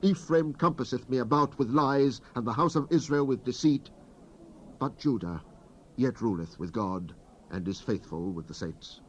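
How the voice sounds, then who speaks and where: Actor as solemn declaiming prophet, muffled old cassette. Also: male voice, medium pitch, moderate pace, close to an everyday voice. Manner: solemn, declamatory, archaic oratory. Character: impassioned male prophet of ancient Israel speaking God's judgment. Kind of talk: dramatized King James scripture reading. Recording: muffled, hissy 1970s cassette transfer. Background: tape noise, ambient effects.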